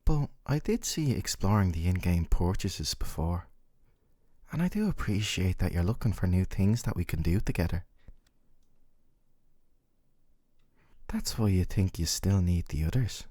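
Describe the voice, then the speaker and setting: Gentle yandere dating-sim boyfriend, played by a male actor: low-pitched, clingy and possessive, intimate ASMR delivery, very dry close sound. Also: dry recording. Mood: mildly jealous. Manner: tender, coaxing, clingy.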